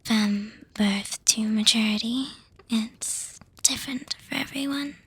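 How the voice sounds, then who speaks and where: A woman voicing an affectionate, teasing young vampire girlfriend in a breathy, hesitant whisper for an ASMR roleplay. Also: fairly high pitch, dry recording, full character voice. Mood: gentle explaining. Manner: slow, hesitant, gently reassuring with soft hums.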